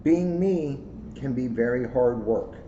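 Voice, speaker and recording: Mellow-voiced man in an echoey room. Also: medium pitch, expressive, slow pace. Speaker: police officer reading a children's book aloud. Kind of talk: read-aloud storytelling with casual asides. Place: indoors, second floor of a borough hall. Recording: roomy echo, dull lo-fi sound, clear noise.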